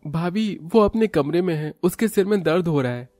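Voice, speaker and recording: A man playing a matter-of-fact married son in a cartoon family story, speaking in a plain, low-key way. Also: expressive, normal speed, warm tone, no echo, clean studio sound, close to an everyday voice. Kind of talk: cartoon moral story acting.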